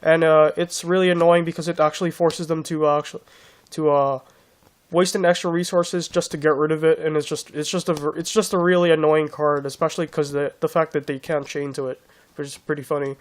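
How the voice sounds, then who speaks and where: Hoarse young man talking through his deck. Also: a little high, flat delivery, brisk. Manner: rambling, many restarts and fillers. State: hoarse from being sick. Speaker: card game deck reviewer. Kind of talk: casual deck profile walkthrough.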